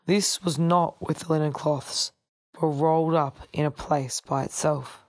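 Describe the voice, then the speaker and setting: A woman's voice: sits low, steady tone, unhurried, no room sound. A woman reading a Gospel passage aloud in a formal scripture-reading style. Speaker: scripture reader.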